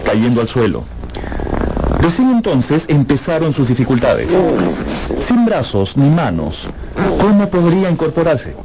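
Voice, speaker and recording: An actor narrating a literary tale in a measured, expressive, dramatic style for a radio drama. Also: male voice, a little high, noisy background.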